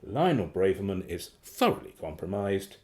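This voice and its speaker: A man's voice: low voice, normal speed. An actor playing a glib secret agent in a radio play, polite and evasive as he answers to his boss. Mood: breezy, confiding. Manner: polite, evasive, theatrically animated. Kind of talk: radio drama acting.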